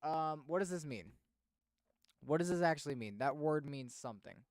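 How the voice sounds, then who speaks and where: A man streaming casual reactions and commentary, expressive and thinking aloud as he goes. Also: a little high, moderate pace, dry recording, studio quality.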